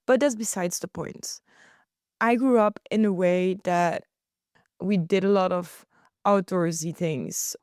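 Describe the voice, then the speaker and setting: Relaxed Belgian woman podcasting from home. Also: lowish voice, smooth, dry recording, clean studio sound. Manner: relaxed, chatty, laughs easily. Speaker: podcast host. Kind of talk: casual solo podcast chat. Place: living room at home.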